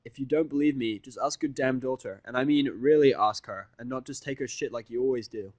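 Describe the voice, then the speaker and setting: A man's voice: medium pitch, brisk, darkish tone, no echo, lightly acted. Guy playing cocky sweary human in a fanfic drama, sarcastic, argumentative, dry recording, normal mid voice. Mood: exasperated. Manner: brash, sarcastic, confrontational. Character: defiant foul-mouthed human man, bluffing and scolding.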